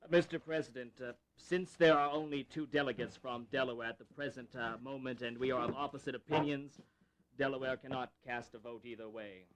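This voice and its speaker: A male actor as an awkward delegate in a colonial history drama. He has a mid-range voice, with a clearly audible crowd behind it. His formal speech comes out halting and unsure. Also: medium pitch, steady tone, natural voice. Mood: sheepish, hesitant. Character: awkward, hesitant colonial delegate explaining a deadlock.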